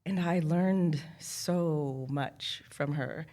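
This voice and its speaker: A woman's voice: low-pitched, extremely slow, warm tone. An American woman speaking as an interview guest, telling personal stories casually and acting out bits of dialogue. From San Jose, California, United States. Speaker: interview guest, corporate executive.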